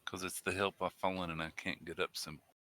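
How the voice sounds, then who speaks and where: A man's voice: sits low, level tone, brisk, sharp tone, no echo. A man chatting casually as a player in a tabletop game.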